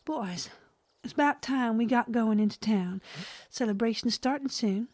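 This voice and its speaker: A woman playing a flirty frontier wife and mother in a comic western audio drama, given to breathy asides and sighs. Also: on the high side, normal speed, darkish tone, no room sound, studio quality, lightly acted. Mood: matter-of-fact. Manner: breathy asides, sighing, gushing.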